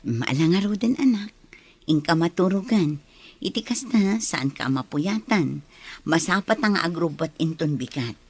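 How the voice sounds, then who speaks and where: Female, Ilocano radio drama: actress as patient, devout young mother, lowish voice with a husky grain. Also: animated, unhurried, darkish tone, heavily acted. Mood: gentle, pious. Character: gentle, devout young mother of a small child.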